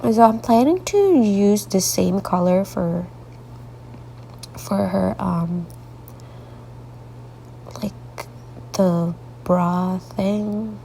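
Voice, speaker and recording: A woman hosts a casual coloring tutorial, her congested voice heavy with gravelly fry and a dark, mellow tone. She rambles softly, drifting into half-whispered asides. She sounds sleepy, talking late into the night. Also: mid-range voice, lively intonation, very slow, pauses often, a little hiss or hum, poor audio. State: congested, stuffy nose. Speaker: artist hosting a coloring tutorial.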